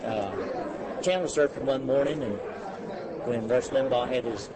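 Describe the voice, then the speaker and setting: A man's voice: medium pitch, unhurried. A man from Arkansas answering questions in a joking, chuckling way. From Arkansas, USA. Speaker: interviewee. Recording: loud crowd and noise outdoors.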